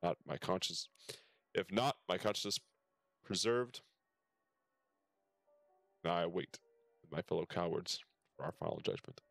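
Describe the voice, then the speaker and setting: A man with a low-pitched voice reads story dialogue from a game aloud for a stream. He drops in casual comments and sometimes trips over the text. Music plays behind him. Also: expressive, measured pace, creaky, pauses often, a bit bright, no room sound. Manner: stumbles over text while reading aloud.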